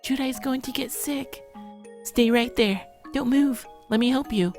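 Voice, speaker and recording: A man's voice: very high-pitched, a little slow, darkish tone, faint music behind, voice pushed up. A man voicing a frantic, fussing young girl from a visual novel, speaking in short, repeated, anxious phrases. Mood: panicked worry. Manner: repetitive, breathless short pleading phrases.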